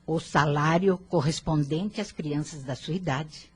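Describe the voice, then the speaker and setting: A woman playing a kindly, composed elderly grandmother in a radio drama, very husky, with a calm, gentle and dignified manner. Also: sits low, unhurried, exaggerated voice. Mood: calm, polite. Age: older.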